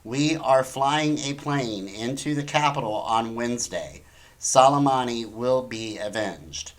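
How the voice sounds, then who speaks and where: A man's voice: medium pitch, a little slow. A man hosting an opinionated talk show, commenting on the news in an incredulous, emphatic way with rhetorical questions. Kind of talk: opinionated news commentary, reading articles aloud.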